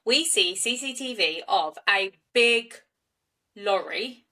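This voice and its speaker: A woman's voice: mid-range voice, lively intonation, slow pace, bright, forceful tone, recorded from a distance. A young woman from South East London with a local accent, co-hosting a true-crime podcast and telling the story in a chatty, jokey way. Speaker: podcast co-host. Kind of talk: casual true-crime chat.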